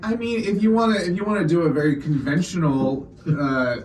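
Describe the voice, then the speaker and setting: Higher-voiced man bantering, echoey room. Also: steady tone, fairly quick, a crowd in the background. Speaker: tabletop game player. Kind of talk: casual improvised table banter. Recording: echoey, distant mic, faint noise.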